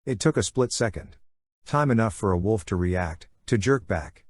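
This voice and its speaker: Male audiobook narrator, lowish and husky, delivering scripted first-person action drama in a dry, close sound. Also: moderate pace, no echo.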